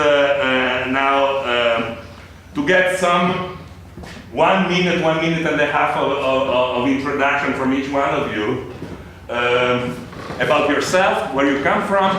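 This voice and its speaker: Male seminar leader, informal and halting, repeats himself. Roomy, distant sound with faint noise. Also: a little high, a bit bright. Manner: halting, repeats words, frequent pauses. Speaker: lecturer leading a seminar. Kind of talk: informal unscripted classroom address. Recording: echoey room, distant mic, background noise.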